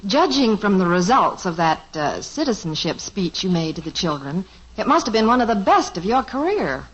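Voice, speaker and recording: An actress playing a calm, composed middle-aged mother in a 1950s radio sitcom who gently mocks her husband. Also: medium pitch, moderate pace, breathy edge. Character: calm, dryly teasing mother and wife. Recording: dull lo-fi old radio transcription.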